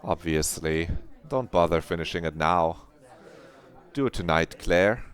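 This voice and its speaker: A man's voice: low-pitched, a little slow. A man voicing a strict female schoolteacher who scolds her class in clipped lines. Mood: stern.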